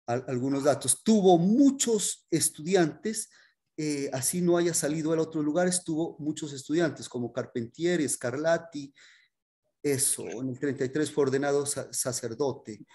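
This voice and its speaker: A man teaching a music history class in an informal, halting explanatory way, often checking in with his students. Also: a little high, dark tone.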